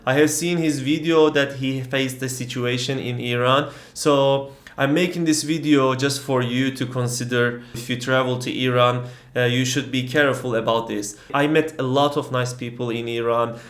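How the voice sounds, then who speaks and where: Young Turkish travel vlogger, non-native English, filler-heavy storytelling, roomy echo. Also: male voice, mid-range voice, steady tone, normal speed, fairly bright tone. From Turkey. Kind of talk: casual storytelling vlog.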